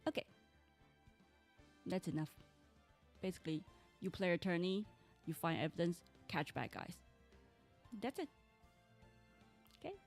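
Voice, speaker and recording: Low gravelly woman streaming a game. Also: very animated, unhurried, pauses often, faint music behind. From Taiwan. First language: Mandarin. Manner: chatty and rambling, often restarting sentences. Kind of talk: casual game stream chat.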